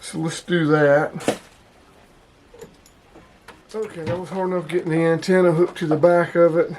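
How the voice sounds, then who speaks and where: A man with a voice pitched a little above average talks through an unboxing. He is informal and thinks aloud as he handles things, like a casual vlogger. There is a light layer of noise behind him. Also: level tone, normal speed. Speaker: vlogger unboxing radio gear. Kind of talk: casual hands-on unboxing commentary.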